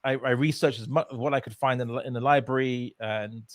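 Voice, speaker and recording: A man's voice: mid-range voice, fairly even intonation, brisk, no echo. A man from England, telling his story as an interview guest in a casual way, with many fillers and restarts. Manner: hesitant, many fillers and restarts, laughs often.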